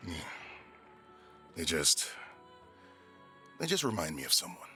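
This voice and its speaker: A man playing a loyal, soft-hearted pirate quartermaster in an audio drama, persuasive and respectfully teasing. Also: deep voice, wildly swooping pitch, slow pace, soft background music, heavily acted. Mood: sincere. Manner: persuasive, deferential yet teasing.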